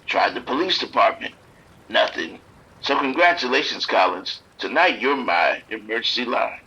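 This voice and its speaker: An actor playing a streetwise pimp trapped in a motel bathroom, blunt and grudging with the police. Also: male voice, medium pitch, lively intonation, moderate pace, sharp tone, slightly rough, lo-fi sound, heavily acted. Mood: shaken. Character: streetwise male pimp hiding from attackers, bitter toward police.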